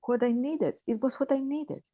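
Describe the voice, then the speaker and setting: A woman, sharing in a group call in a halting, thoughtful way with many restarts. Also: sits high, mellow, no echo, clean studio sound. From Chile. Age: 80s. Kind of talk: casual sharing on a video call.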